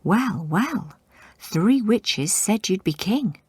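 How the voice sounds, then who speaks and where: A woman's voice: medium pitch, expressive, takes it slowly, a little mellow, husky, no room sound, pitched above the performer's own voice, full character voice. A woman voicing an ambitious storybook noblewoman who coaxes and goads her husband. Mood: scheming. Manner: coaxing, scornful.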